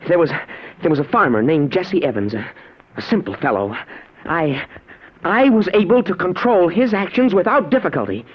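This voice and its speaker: A man acting in a 1950s radio drama as an earnest, awed young man, with halting, stammering, breathless delivery. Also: on the high side, expressive, slightly rough. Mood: excited. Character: earnest, awed young man, time-travel experiment subject. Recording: dull vintage radio broadcast recording.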